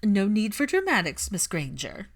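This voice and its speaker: A woman's voice: mid-range voice, wildly swooping pitch, normal speed. A woman voicing an imperious older witch, an eager, scheming aristocratic mother with a haughty, insistent manner. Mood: haughty, coaxing.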